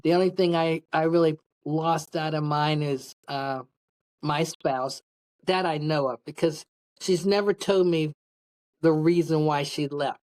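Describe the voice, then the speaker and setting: Low-pitched middle-aged woman, candid storyteller. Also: steady tone, a little slow, darkish tone, rough recording. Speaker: podcast guest, public speaker. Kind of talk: casual interview, personal anecdotes.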